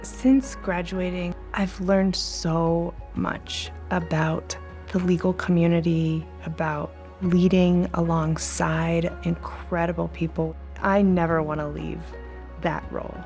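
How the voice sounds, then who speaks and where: A woman in her thirties talks earnestly about her work in an interview. Her voice sits a little low and carries a gravelly fry. Soft music plays underneath. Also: little pitch movement, slow pace. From Mexican American, grew up speaking Spanish. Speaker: law alumna, nonprofit executive director. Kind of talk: interview for a tribute video.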